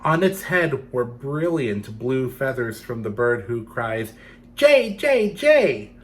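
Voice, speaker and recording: A man's voice: medium pitch, slow. A man reading a picture book to children, playfully addressing young listeners as he goes. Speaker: storytime reader for children.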